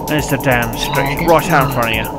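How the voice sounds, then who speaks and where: A man with a British accent giving casual, chatty, halting gameplay commentary as he goes. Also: medium pitch. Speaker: gaming video host. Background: loud game music.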